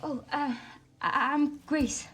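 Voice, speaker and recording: A woman's voice: sits high, steady tone, slow pace, a bit bright, slight background noise. A woman playing an anxious, hesitant young woman protecting a child in a video game cutscene. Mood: nervous, protective. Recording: music under the voice.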